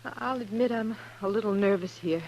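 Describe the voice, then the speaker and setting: A woman's voice: mid-range voice, normal speed, a little mellow, close to an everyday voice. Sheltered young woman, female actor: mid-range voice, earnest and fretful, vintage radio western. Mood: anxious. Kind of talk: 1950s radio drama acting. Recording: humming, noisy 1950s radio recording.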